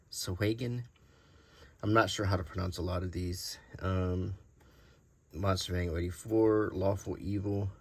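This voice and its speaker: Low-voiced man in a casual show-and-tell. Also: breathy edge. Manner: rambling, unhurried, thinking aloud. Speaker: host showing vintage game cards.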